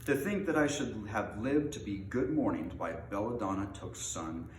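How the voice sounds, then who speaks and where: A man's voice: on the low side, expressive, normal speed, lightly acted. Man voicing a testy old wizard, swooping delivery. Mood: indignant. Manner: questioning, pedantic, emphatic. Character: old male wizard, brusque, testy and commanding. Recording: roomy echo, faint background noise.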